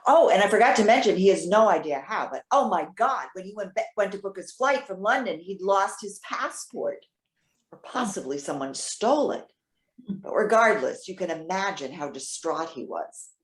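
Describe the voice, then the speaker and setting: A woman playing a trusting, excitable elderly widow in readers theater, talking in a chatty, halting, earnest way. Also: mid-range voice, natural voice. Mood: concerned, earnest. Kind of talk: readers theater stage acting. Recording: roomy, echoing sound.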